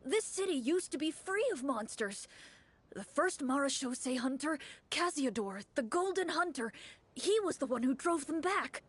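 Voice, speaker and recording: Earnest young townsperson. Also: female voice, sits high, expressive, a little slow. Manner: earnest, eager storytelling. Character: earnest hopeful young townsperson seeking hunters. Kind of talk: game voice acting.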